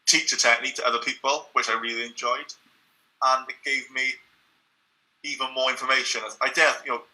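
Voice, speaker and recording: A man's voice: mid-range voice, sharp tone, low-quality recording. A young man, speaking as an interview guest in an earnest, reflective way, sometimes repeating words as he restarts a thought.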